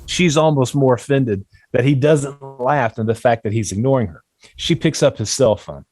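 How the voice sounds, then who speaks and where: A man's voice: medium pitch, normal speed, a little mellow. A man reading stage directions as scripted narration for a screenplay table read.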